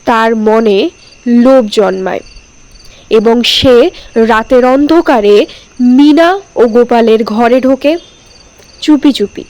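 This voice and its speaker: A woman's voice: on the high side, takes it slowly, pauses often, darkish tone, rough recording. A woman narrating a scripted cartoon story as storyteller.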